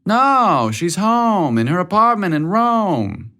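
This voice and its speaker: A man's voice: sits high, very animated, slow, bright, forceful tone, voice pushed up, exaggerated voice. A man voicing an affectionate, pushy older mother in an audiobook story, coaxing with dramatic exasperation. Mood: exasperated coaxing.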